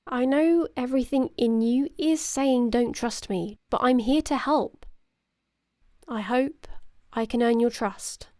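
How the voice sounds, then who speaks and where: A woman acting as a poised female leader in an audio drama. Her voice sits fairly high and sounds close and dry. She speaks in measured, formal phrases, reassuring yet firmly in charge. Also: darkish tone, dry recording. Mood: smooth, reassuring. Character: composed, authoritative female leader of a covert organization.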